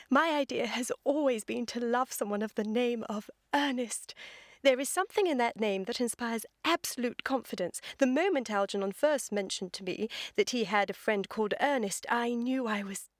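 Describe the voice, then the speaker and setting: A woman's voice: on the high side, moderate pace, dry recording, studio quality, close to an everyday voice. A woman playing a self-assured, romantic young upper-class English lady in a comic radio play, speaking in a precise, affected way. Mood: assured adoration. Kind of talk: theatrical comedy-of-manners radio acting.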